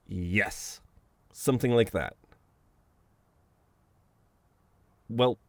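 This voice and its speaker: Man acting as male best friend, low voice, slow pace, flustered, teasing, protective, halting banter, intimate audio roleplay, dry sound. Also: darkish tone, no echo. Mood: teasing, hesitant.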